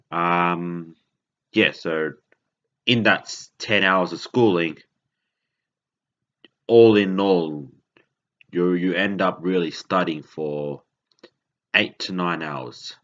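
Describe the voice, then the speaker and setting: Very deep-voiced man from Indonesia, halting. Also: a bit bright. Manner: rambling, self-correcting, halting. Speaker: podcast host. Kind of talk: casual monologue. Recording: dull lo-fi recording.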